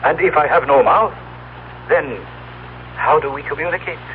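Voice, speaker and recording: An actor playing a solemn, proud telepathic being from the future, speaking in formal, precise, stilted phrases as in old radio drama. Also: male voice, fairly high pitch, moderate pace, natural voice. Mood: solemn, explanatory. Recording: tinny, noisy old radio broadcast recording.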